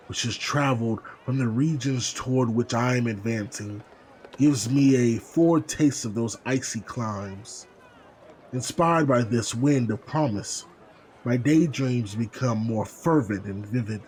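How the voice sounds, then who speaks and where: A man's voice: mid-range voice, darkish tone, natural voice, low-quality recording. An actor playing a hopeful male explorer, reading out a letter to his sister in an earnest, formal, rhapsodic way for an audio drama. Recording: faint background ambience noise. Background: faint ambience.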